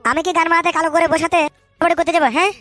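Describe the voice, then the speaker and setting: A very fast, high voice for a shifty, lovestruck cartoon dog , acted out by a performer. The talk is foul-mouthed slang, blustering and tripping over itself, with music behind it. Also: level tone, fairly bright tone. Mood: defensive. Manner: blustering, stammers when flustered, colloquial and foul-mouthed. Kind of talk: comic cartoon dub with street slang.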